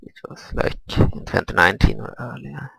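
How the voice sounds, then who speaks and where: A man giving casual gameplay commentary, thinking aloud in a hesitant, grumbling, husky and gravelly way. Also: medium pitch, animated, moderate pace, pushed and bright, dry recording, rough recording. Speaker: gamer recording gameplay commentary.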